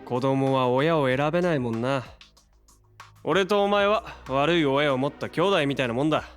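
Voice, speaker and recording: An actor playing a brash, warm-hearted young man with casual, slangy speech in a Japanese audio drama. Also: mid-range voice, normal speed, clean tone, soft background music, close to an everyday voice. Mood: casual, friendly.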